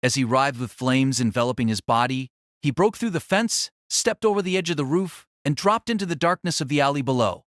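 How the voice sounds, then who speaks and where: A man's voice: medium pitch, lively intonation, fairly quick, fairly bright tone, dry recording, clean studio sound. A man reading scripted novel narration for an audio drama.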